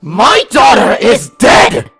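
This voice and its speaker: A man's voice: high-pitched, big pitch swings, slow pace, creaky, fairly bright tone, breathy edge, raised voice, soft background music, pitched above the performer's own voice, exaggerated voice. A man voicing a spiteful, grieving changeling queen in a fantasy story reading, with a theatrical, menacing delivery. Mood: enraged grief.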